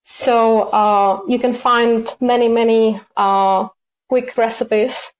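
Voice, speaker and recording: A woman's voice: on the high side, steady tone, slow pace, poor audio. A woman, a cookbook author answering interview questions, hesitant with many fillers and self-corrections. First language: Czech.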